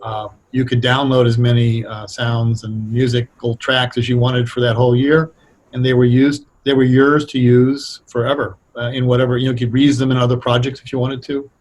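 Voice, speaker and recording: A man with a mid-pitched, somewhat husky voice. He explains his craft in a relaxed interview style, pausing to think and rephrase as he goes. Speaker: radio drama writer, interview guest. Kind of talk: casual explanatory interview talk.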